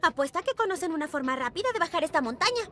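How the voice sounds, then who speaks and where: A woman voicing a plucky, cheerful young girl adventurer in a children's cartoon, eager, expressive and exclamatory. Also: very high voice, racing. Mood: friendly, purposeful. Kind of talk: animated children's cartoon dubbing. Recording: music behind the voice.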